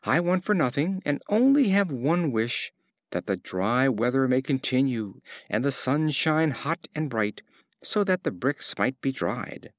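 Man voicing a contented married daughter, lilting pitch. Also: lively intonation, measured pace, no echo, studio quality. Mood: wishful.

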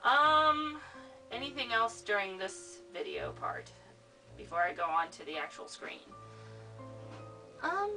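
A woman's voice: a little high, very animated, slow, pauses often, sharp tone, soft background music, rough recording. A young American woman giving a casual, rambling, unscripted tutorial at home in an informal way. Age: young adult. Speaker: tutorial host, amateur fandubber. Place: cluttered room at home.

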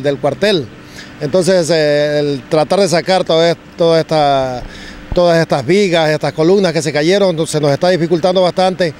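A middle-aged Ecuadorian man with a fairly high voice talks at a street work site, stopping and restarting with many fillers. Reporters hold mics close to him. Faint traffic and street noise hang behind. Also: darkish tone. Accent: Ecuadorian Spanish. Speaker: public works director. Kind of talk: on-site news interview. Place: outdoors at a street excavation site. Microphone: handheld reporter mics held close.

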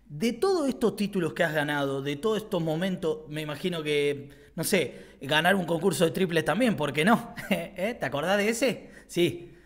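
Man, 30s, Argentine accent, interview host, rambling questions, slightly high voice, studio mic. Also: lively intonation, moderate pace, a bit bright. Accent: Argentine Spanish. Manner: rambling questions, loose and friendly. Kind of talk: casual interview. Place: small studio with brick wall. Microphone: studio mic on desk, headphones.

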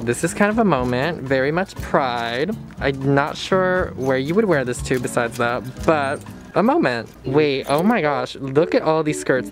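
A woman in her twenties vlogging in a bubbly, slangy, playful way, filmed on a handheld camera at arm's length. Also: a bass voice. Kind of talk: casual vlog chat. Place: outdoors, in a car and in shops.

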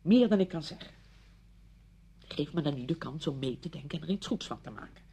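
A woman's voice: sits low, lively intonation, fairly quick, warm tone, slight background noise. An actress playing a well-meaning, pushy woman friend in a radio play, insistent and easily defensive, full of practical advice. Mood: hurt, defensive. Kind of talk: Dutch radio play acting.

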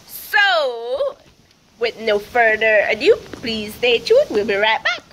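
A woman's voice: fairly high pitch, very animated, slow pace, fairly bright tone, a little hiss or hum, low-quality recording. A young woman with a Jamaican accent, vlogging casually on a rural farm and teasing her friends. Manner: playful teasing, lots of laughing. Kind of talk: casual vlog chat. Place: outdoors on a grassy rural farm.

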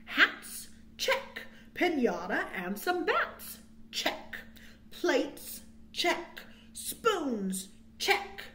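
An American woman in her thirties hosting storytime for young children, with sing-song, drawn-out phrasing, recorded indoors on a webcam mic. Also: sits high, sing-song, pushed and bright, a little hiss or hum. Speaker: children's librarian, storytime host. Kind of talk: storytime read-aloud and counting rhymes for children. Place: small quiet room indoors. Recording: roomy webcam sound.

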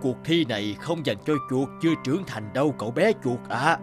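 An actor playing an elderly, stern and wise mouse elder in an animated cartoon, grave, measured and admonishing. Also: mid-range voice, close to an everyday voice. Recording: music behind the voice.

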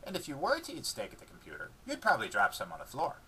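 A man's voice: mid-range voice, huge pitch swings, fast talker, pushed and bright, a little hiss or hum, rough recording. A man voicing an eager, cheeky, food-obsessed female pet dog in a dramatic book reading, casual and argumentative. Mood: eager, arguing.

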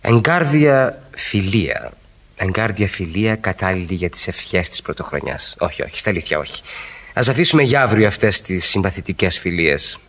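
Radio drama role: boyish married suitor, low voice, playful, widely swinging intonation. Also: male voice, very animated, a bit bright, exaggerated voice. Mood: sulky. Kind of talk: radio play acting, romantic dialogue.

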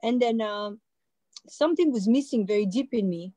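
A woman's voice: a little high, moderate pace, warm tone, dry recording. A woman in her forties from France with a French accent, telling her own story as a panel guest on a video call, loose and conversational with many fillers and restarts. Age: 40s. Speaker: panel guest, visual artist. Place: indoor room with a warm-lit backdrop. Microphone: laptop or phone mic on a video call.

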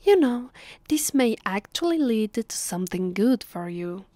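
A woman with an Italian accent voicing a haughty, possessive vampire mistress in a close, hushed ASMR roleplay, condescending and commanding. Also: a little high, sing-song, measured pace, no room sound. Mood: haughty, promising. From Italy. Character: haughty, possessive female vampire mistress addressing her captive. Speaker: ASMR voice actress. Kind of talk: ASMR roleplay, slow, close, often whispered. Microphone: close microphone, dry.

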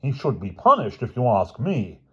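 A man's voice: mid-range voice, very animated, moderate pace, breathy edge, lo-fi sound, voice pushed up. A man voicing a prim, self-important rich schoolboy with an affected, aggrieved manner in a children's audiobook. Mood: indignant accusation. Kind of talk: children's novel audiobook character voice.

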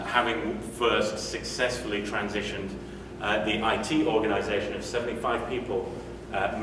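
A British man from the UK in his forties giving a conference talk in a hotel meeting room, conversational, with frequent fillers and restarts. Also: lowish voice. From United Kingdom. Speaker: conference speaker. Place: hotel meeting room, indoors, medium size. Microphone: room mic from a distance. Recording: echoey room, distant mic, noisy.

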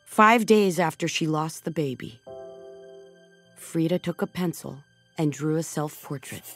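A middle-aged woman narrating a children's storybook in a gentle, measured way, softening her voice at tender moments. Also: deep voice. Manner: gentle, measured storytelling with soft dips in volume. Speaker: audiobook narrator. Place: recording studio. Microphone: close studio microphone. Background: background music.

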